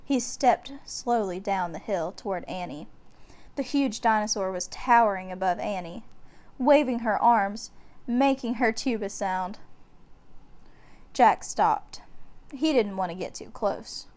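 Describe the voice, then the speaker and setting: A woman's voice: medium pitch, unhurried, a little mellow. A woman narrating a children's book aloud, giving the descriptions dramatic emphasis. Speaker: storyteller.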